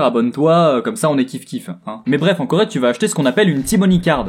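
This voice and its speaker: A man's voice: fairly high pitch, a little mellow, slightly rough. A man in his twenties with an accent from France, hosting a casual, humorous video blog in a cheeky, slangy way, addressing viewers directly with teasing asides. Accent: French from France. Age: 20s. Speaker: host of a video blog about living abroad.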